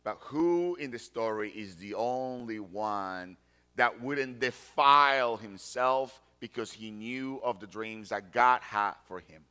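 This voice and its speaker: Pastor, male, 40s; bright, forceful tone, emphatic repetition. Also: medium pitch, clean tone. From Colombia. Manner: deliberate, emphatic repetition of key phrases. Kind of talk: sermon.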